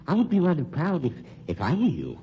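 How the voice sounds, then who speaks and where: An actor playing a coolly menacing funeral home owner with silky, formal politeness in an old-time radio crime drama. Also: male voice, a little high, brisk. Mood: smooth, mocking. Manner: formal, silkily polite, deadpan. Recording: muffled, noisy old radio recording.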